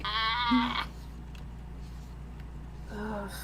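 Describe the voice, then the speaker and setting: A woman's voice: a little high, flat delivery, very slow, pushed and bright. A woman in her twenties in casual, teasing chat with friends on a stream. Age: 20s. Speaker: streamer chatting during a drawing stream. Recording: faint background noise and hum.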